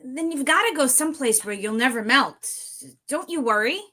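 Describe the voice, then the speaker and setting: A woman's voice: high-pitched, lively intonation, normal speed, sharp tone, heavily acted. A woman voicing an earnest, caring young girl with a reassuring manner, in a live cartoon script reading. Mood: concerned.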